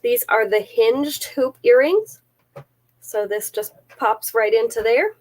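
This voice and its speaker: Woman, slightly high voice, stuffy nose, chatty live seller, detailed descriptions, close camera mic. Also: takes it slowly, darkish tone. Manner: chatty, careful item-by-item descriptions.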